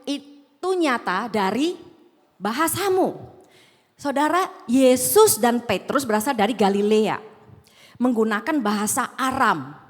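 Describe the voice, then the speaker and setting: A woman pastor with a slight Javanese accent preaches to a congregation in a large auditorium. Her voice sits fairly high, and she keeps putting questions to her listeners. A long reverb from the hall trails behind her words. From Sumatra, Indonesia. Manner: conversational, asks the congregation questions. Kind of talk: sermon. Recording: echoing hall.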